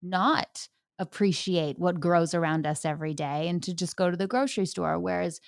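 A woman's voice: fairly low pitch, moderate pace, gravelly, no echo, clean studio sound. A woman hosting an interview, talking enthusiastically and telling stories with fillers. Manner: enthusiastic, warm storytelling with fillers. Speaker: host. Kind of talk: casual interview chat.

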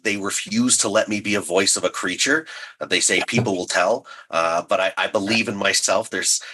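A man's voice: sits low, sharp tone, breathy edge. A man speaking as an interview guest, casual and playful, with a self-deprecating way of talking. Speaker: guest, audio drama creator.

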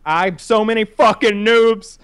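A man's voice: high voice, measured pace, noisy background. A man in his thirties in casual chat, rambling with frequent restarts and swearing. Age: 30s.

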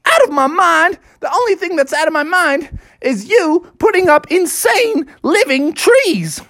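A defensive bear dad from a storybook, voiced by a storyteller, protesting with exasperated emphasis. The pitch sits a bit raised and moves around in a lively way. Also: moderate pace, husky.

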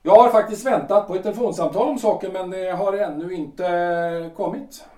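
A hesitant lawyer and politician in his forties, played by a man halts and hedges through every sentence. His voice is fairly high but dark in tone. He is recorded in an echoey room, some distance from the mic. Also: natural voice. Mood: uneasy. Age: 40s. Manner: hesitant, halting, full of fillers. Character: hesitant 43-year-old lawyer and politician, Gustav. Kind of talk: audio drama acting.

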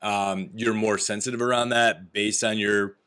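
A man hosts an interview podcast in a slightly low voice. He sounds upbeat and encouraging as he asks his guest questions. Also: fairly even intonation, measured pace, sharp tone, smooth. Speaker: podcast host.

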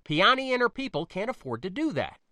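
A male narrator plays a determined space pilot in an audiobook. The voice is bright and raised, with lively swings in pitch. The delivery is colloquial and insistent, wry and defensive. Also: brisk, dry recording, clean studio sound, exaggerated voice. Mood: matter-of-fact.

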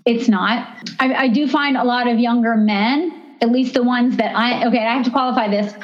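A woman talking openly in a candid interview, often pausing and restarting her sentences. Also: on the high side, dull audio. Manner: open, hesitant, many restarts and fillers.

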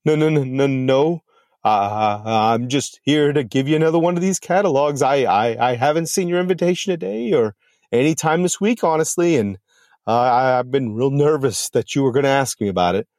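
A man's voice: mid-range voice, normal speed, a little mellow, no echo, studio quality, pitched above the performer's own voice, natural voice. A man voicing a timid male mail carrier who stammers nervously. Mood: panicked. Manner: stammering, jittery.

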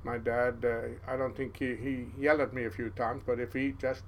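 A man in his seventies with a Norwegian accent, telling stories as an interview guest in a halting way, with many restarts. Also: medium pitch, flat delivery, slight background noise. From Norway. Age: 70s. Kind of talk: casual storytelling interview.

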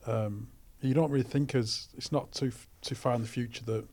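A male podcast co-host chatting in a relaxed way, thinking aloud as he speaks. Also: mid-range voice, fairly quick. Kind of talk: casual podcast interview.

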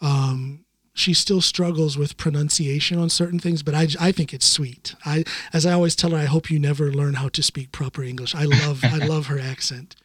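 A man hosting a podcast, his voice a bit higher than most. He chats easily, laughs at himself and often restarts his sentences. The recording is close and dry, with no room sound. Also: steady tone, normal speed, no echo. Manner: chatty, self-deprecating, many restarts. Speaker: podcast host. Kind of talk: casual conversation.

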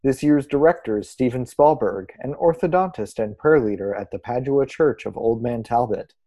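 A man playing an earnest small-town arts radio co-host, presenting in a deadpan, formal, mock-serious scripted style. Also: mid-range voice, normal speed, natural voice. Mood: earnest, announcing.

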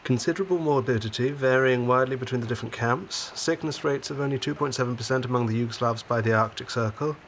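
An actor playing an earnest, insistent doctor in a naturalistic 1940s audio drama, speaking in a serious, probing way. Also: male voice, mid-range voice, quick pace, hardly any pauses, a little hiss or hum, natural voice. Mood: concerned. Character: earnest, insistent doctor documenting prison camp conditions in 1945.

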